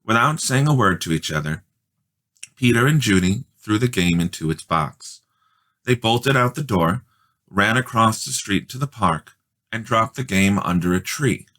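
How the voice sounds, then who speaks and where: A man reading a children's storybook aloud as a dramatic, expressive narrator. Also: fairly low pitch, unhurried. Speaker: storybook reader.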